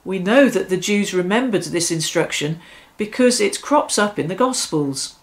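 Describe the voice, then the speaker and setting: A middle-aged woman leading devotional prayers and reflection in a deliberate, phrase-by-phrase way. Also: mid-range voice, expressive. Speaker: prayer leader. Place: small domestic room, seated on a sofa.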